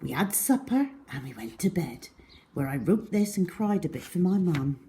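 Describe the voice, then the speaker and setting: A woman's voice: on the low side, lively intonation, normal speed, dark tone. A woman storyteller reading a children's diary-style story aloud with expression.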